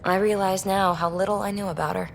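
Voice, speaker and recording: A woman acting a brash, candid young rogue explorer in a video game, talking in a snarky, animated and colloquial way. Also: medium pitch, fast talker, gravelly, slight background noise, close to an everyday voice. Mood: hurt, bitter.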